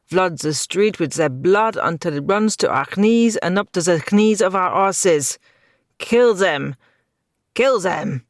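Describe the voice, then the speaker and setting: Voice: medium pitch, expressive, slow pace, dry recording, clean studio sound, pitched above the performer's own voice. A single reader voicing a murderous villain count who raves for slaughter. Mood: raving. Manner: raving, bloodthirsty.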